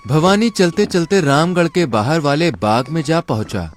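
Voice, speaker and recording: A man's voice: fairly high pitch, expressive, normal speed, soft background music. A man narrating a scripted folk tale as a storyteller.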